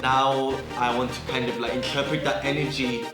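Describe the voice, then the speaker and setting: A man's voice: medium pitch, level tone. A young man, a rapper from Seoul raised in London, chatting casually with fillers and restarts. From born in Seoul, raised in London. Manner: laid-back, drawn-out with fillers and restarts. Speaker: rapper guest. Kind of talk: casual chat. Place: Korean restaurant. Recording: echoey room with music behind.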